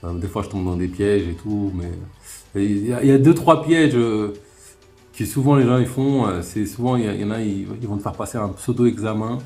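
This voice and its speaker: Animated man chatting on a live stream. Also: lowish voice, fairly quick, faint music behind. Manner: animated, laughs often, many restarts. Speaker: host of live debate stream. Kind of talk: casual live chat.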